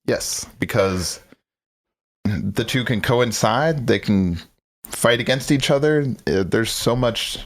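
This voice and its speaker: A man co-hosting a podcast in casual chat, energetic and rambling, speeding up when he makes a point. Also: mid-range voice, slow pace. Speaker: podcast co-host.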